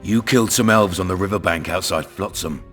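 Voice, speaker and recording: An actor with a British accent playing a crude, cunning, menacing town commandant in a video game. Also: male voice, sits low, brisk, fairly bright tone, soft background music. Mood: veiled threat. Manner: domineering, coarse, swaggering. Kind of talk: video game dramatic dialogue.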